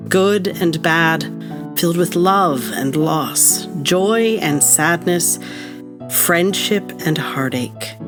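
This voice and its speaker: A reflective mother acted by a woman narrates an audio drama in a low voice. She is tender and nostalgic, telling her story over clear plucked guitar music.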